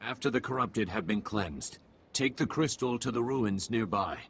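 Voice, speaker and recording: Husky, creaky alien mystic guide. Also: male voice, lowish voice, animated, fairly bright tone, full character voice. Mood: instructing. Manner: measured, terse, ominous pronouncements. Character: grave male alien mystic guide, cryptic and calm. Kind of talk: video game cutscene acting.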